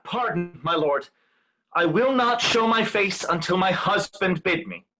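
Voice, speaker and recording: A man playing a resolute, wronged veiled woman in a Shakespeare reading, in measured formal verse. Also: high voice, expressive, pushed and bright, soft background music, full character voice, rough recording. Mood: guarded, firm.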